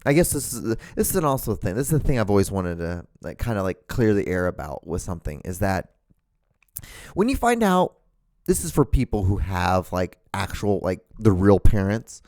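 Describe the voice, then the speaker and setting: Man, 30s, from Honduras, casual solo podcast host, profane and rambling, animated pitch, dry studio mic. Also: moderate pace, dry recording. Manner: rambling, profane, frequent restarts. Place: room at home, seated in front of a camera. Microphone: studio microphone, wearing earphones.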